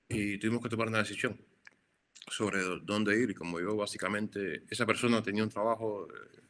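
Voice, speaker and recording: Low-voiced Cuban man, reflective. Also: fairly bright tone. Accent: Cuban Spanish. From Cuba. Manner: reflective, frequent restarts and repeated words. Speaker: photographer, interview guest. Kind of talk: conversational interview.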